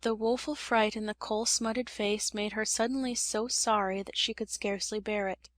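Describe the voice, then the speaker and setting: A woman reading a children's story as an expressive audiobook narrator. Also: mid-range voice, moderate pace, no room sound.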